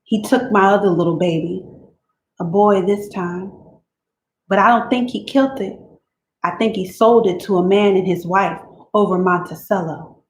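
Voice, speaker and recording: Woman performing a resigned, abused teen country girl writing to God; quiet rural dialect, mid pitch, echoey room. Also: normal speed, close to an everyday voice. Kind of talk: dramatic reading of letters. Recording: roomy room echo.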